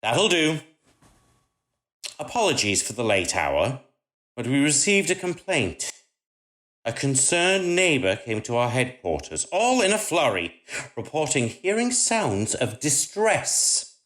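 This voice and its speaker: A man's voice: mid-range voice, sing-song, takes it slowly, fairly bright tone. A man playing a courteous police officer in a radio play, formal and polite, ready for petty debate. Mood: courteous, composed.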